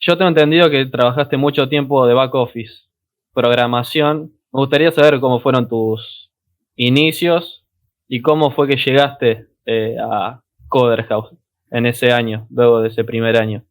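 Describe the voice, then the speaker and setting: A young man hosting a podcast, asking relaxed questions with short prompts. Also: mid-range voice, moderate pace. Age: young adult. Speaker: podcast host. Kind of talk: casual interview questions.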